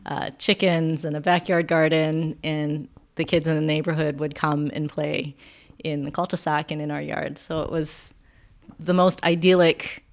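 A woman with a Canadian accent, speaking as a podcast guest in a measured, reflective way. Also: deep voice, little pitch movement. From Vancouver, Canada. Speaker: podcast guest, sound healing center founder. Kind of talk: reflective interview conversation. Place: quiet indoor room at her wellness space.

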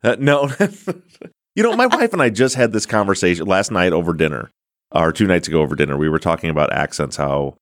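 A man's voice: sits low, lively intonation, a little fast, dry recording, studio quality. A middle-aged man with a Midwestern accent, hosting a casual podcast interview, chatty and self-deprecating. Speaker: podcast host.

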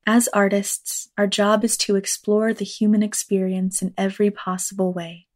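A woman leading a guided meditation in a measured, unhurried manner, reading scripted lines with long pauses. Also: medium pitch, flat delivery, darkish tone. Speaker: meditation guide, actor and teaching artist.

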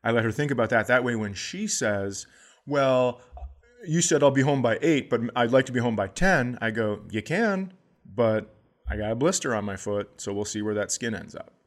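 A middle-aged man co-hosting a comedy podcast, telling absurd jokes deadpan about parenting. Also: medium pitch, moderate pace. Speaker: podcast co-host. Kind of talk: casual comedic chat.

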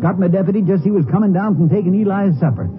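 Man playing a fast-talking, flustered Texas sheriff. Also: high voice, natural voice. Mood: distressed. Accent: Southern US, Texas. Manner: hurried, worried, folksy. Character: flustered small-town Texas sheriff, folksy and worried. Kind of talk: 1950s radio western acting. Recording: muffled old radio broadcast with music.

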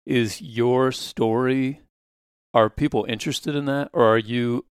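A male podcast host asking relaxed, casual questions with loose, rambling phrasing. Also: mid-range voice, a little slow, clean tone, dry recording, studio quality. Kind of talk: casual interview chat.

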